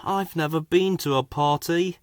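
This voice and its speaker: A man voicing a gentle, obliging, wistful dockside crane in a children's storybook. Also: on the high side, moderate pace, clean tone, dry recording, studio quality, natural voice.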